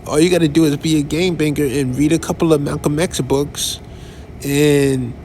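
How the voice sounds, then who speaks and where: A man hosting a podcast, giving casual commentary in a conversational, halting way with restarts and asides. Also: on the high side, steady tone, darkish tone, slight background noise. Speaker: podcast host.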